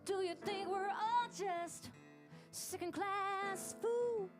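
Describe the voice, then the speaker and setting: A woman's voice: extremely high pitch, takes it slowly. A woman singing folk and blues songs live on a coffee house stage, with soulful, storytelling vocals. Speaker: folk singer. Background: acoustic guitar.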